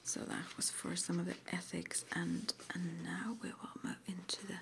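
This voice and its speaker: A woman whispering breathily in an ASMR roleplay, gently and hesitantly asking questions like an interviewer. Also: lowish voice, little pitch movement, moderate pace. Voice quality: breathy whisper, mostly unvoiced.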